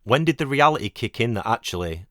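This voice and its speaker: A man hosting a podcast, asking measured, supportive questions. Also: medium pitch, lively intonation, no echo, studio quality. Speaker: podcast host, interviewer. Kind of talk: interview.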